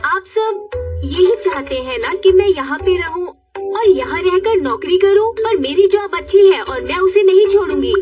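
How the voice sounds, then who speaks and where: An earnest, exasperated woman from a family cartoon. She speaks emphatically in a high voice, recorded dull and distant over background music. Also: lively intonation, a little slow. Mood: defiant. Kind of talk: Hindi cartoon dub acting.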